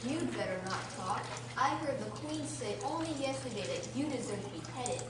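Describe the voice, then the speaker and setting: A woman's voice: fairly high pitch, expressive, moderate pace, lightly acted. A woman playing a defensive, tattling gardener in a radio drama. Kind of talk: stage radio drama acting. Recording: echoey hall, distant mic, loud noise.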